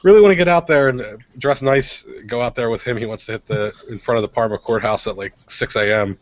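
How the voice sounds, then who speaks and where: An American man co-hosting a casual radio show, talking haltingly and repeating words as he starts. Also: medium pitch, no room sound. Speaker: radio show co-host and activist blogger. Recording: dull, lo-fi audio.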